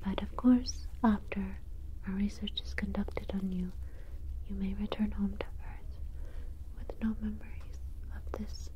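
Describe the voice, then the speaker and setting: A woman voicing a gentle alien researcher in an ASMR sci-fi roleplay, whispering with formal, deliberate, soothing phrasing. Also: mid-range voice, level tone, close to an everyday voice, low-quality recording. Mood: gentle, reassuring. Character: gentle female alien researcher examining an abducted human.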